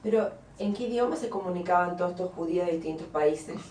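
A woman's voice: fairly low pitch, fast, darkish tone, recorded from a distance, a little hiss or hum. Off-mic woman asking questions in an echoey room. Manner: direct, brief questions. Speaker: interviewer. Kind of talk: interview questions.

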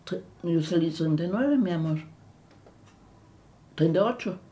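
Slow, halting elderly woman with German accent. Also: on the low side, lively intonation, mellow. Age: older. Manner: halting, repeats words, restarts often. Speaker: interviewee. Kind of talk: recounting memories in an interview.

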